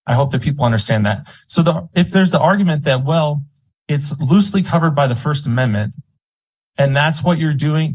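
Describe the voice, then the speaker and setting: A man's voice: a little high, slightly rough, low-quality recording. A man speaking as a member of the public at a meeting, argumentative and indignant, making his case in a public comment. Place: school board meeting room.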